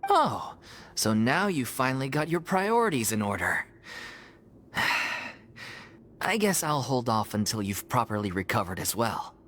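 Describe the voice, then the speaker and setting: A woman's voice: extremely low pitch, very animated, a little slow, fairly bright tone, a little hiss or hum, full character voice. A woman voicing a blunt, dry-witted troupe member in a video game, with a teasing, matter-of-fact delivery. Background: game music.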